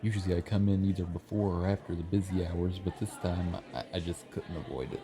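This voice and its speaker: Male actor as shy, friendly café regular, young writer type. Deep voice, bashful and earnest ASMR roleplay delivery, busy chatter behind. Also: fairly even intonation, brisk, natural voice. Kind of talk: ASMR roleplay, soft conversational acting. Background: café crowd chatter.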